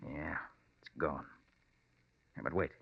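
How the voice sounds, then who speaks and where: An actor playing a confident, protective private detective in a brisk, commanding 1940s radio drama style. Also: male voice, rumbling low, creaky, slightly rough, heavily acted. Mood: focused. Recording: muffled old-time radio recording.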